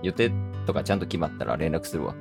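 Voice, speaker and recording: A man's voice: rumbling low, animated, quick pace, gravelly, pitched below the performer's own voice, full character voice. A man with a Kansai accent playing a friendly young man casually and hesitantly asking an old friend out in an improvised radio drama. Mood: tentative, inviting. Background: plucked guitar music.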